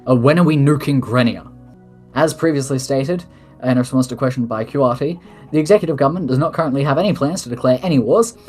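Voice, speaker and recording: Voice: mid-range voice, fast, darkish tone, husky, soft background music. A speaker taking submitted questions in a mock-formal political address, reading official statements with casual asides. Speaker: head of government of an online role-play nation.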